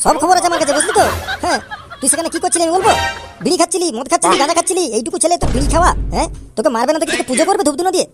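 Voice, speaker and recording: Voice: very high-pitched, racing, over music. A performer voicing a scornful, threatening father in a comic cartoon, sarcastic and hectoring. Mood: sarcastic scolding. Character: scornful, threatening father scolding his little son.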